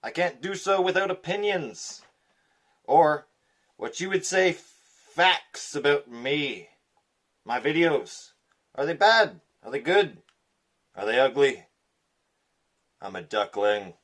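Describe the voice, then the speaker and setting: A man's voice: on the high side, animated, takes it slowly, pauses often, fairly bright tone. A man speaking in a theatrical intro style.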